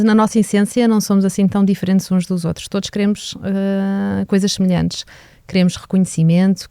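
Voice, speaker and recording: A woman's voice: mid-range voice, mellow, smooth, no room sound, clean studio sound. A woman from Portugal with a European Portuguese accent, a guest on a business podcast, speaking earnestly and reflectively with frequent hesitations. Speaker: HR director guest.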